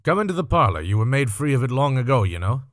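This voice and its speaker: A man's voice: mid-range voice, big pitch swings, quick pace, no room sound, clean studio sound, natural voice. A man voicing a shady, grasping old male dealer in a storybook reading, with garrulous, sly old-fashioned speech. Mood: welcoming, chuckling. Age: older.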